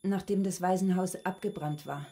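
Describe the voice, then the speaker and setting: An actress playing a subdued, withdrawn cook in her thirties, answering quietly and matter-of-factly in a radio drama. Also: on the low side, fairly even intonation, vocal fry. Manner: quiet, halting, reticent, matter-of-fact. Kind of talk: German radio drama acting.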